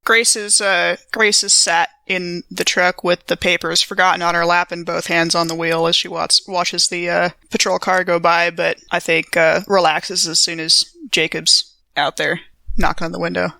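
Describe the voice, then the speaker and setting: A woman's voice: lowish voice, little pitch movement, moderate pace, gravelly, pushed and bright, no room sound. A woman playing a tabletop game, improvising in a casual, wry, conversational style. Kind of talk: casual improvised role-play and recap.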